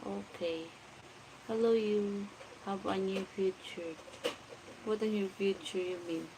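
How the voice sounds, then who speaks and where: A Filipino woman in her forties with a Filipino accent talks slowly and flatly, and her voice has a gravelly edge. She greets her livestream viewers by name and answers their comments. Steady background noise runs under her voice. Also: mid-range voice. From Philippines. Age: 40s. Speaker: livestream vlogger. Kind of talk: casual livestream chat reading comments. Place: home at night.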